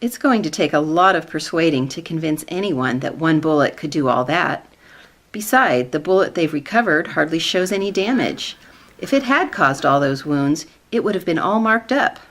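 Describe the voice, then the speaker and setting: A woman's voice: low-pitched, expressive, moderate pace, fairly bright tone. A woman playing a knowing, skeptical government colleague in a sitcom radio play, dry and insistent.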